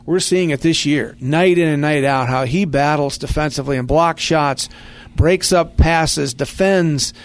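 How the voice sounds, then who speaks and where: A man's voice: a little high, measured pace. A man hosting a sports talk show, speaking enthusiastically in the manner of a radio announcer. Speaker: sports podcast host, radio play-by-play man.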